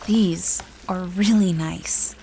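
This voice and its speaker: An actress playing a calm woman who owns a store and gently guides the younger ones in an audio drama. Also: fairly low pitch, takes it slowly, creaky, slight background noise, poor audio. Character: warm older woman Josie, store owner.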